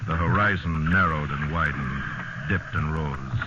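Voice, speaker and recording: A man acting as the grave, measured narrator of a sea survival tale in a 1950s radio drama. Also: extremely low pitch, very noisy. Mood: solemn. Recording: muffled old radio recording, loud music behind.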